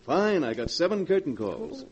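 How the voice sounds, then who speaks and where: A man's voice: fairly high pitch, very animated, moderate pace, a little mellow, husky. An actor playing a suave, proud husband and stage leading man in theatrical, emphatic 1940s radio style. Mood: playful, affectionate. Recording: dull, noisy vintage radio recording.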